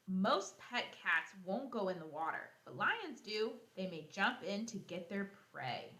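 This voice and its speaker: A woman teacher reading a children's nonfiction book aloud, expressively, pausing to explain and think out loud for young pupils. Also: mid-range voice, reverberant. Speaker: elementary school teacher.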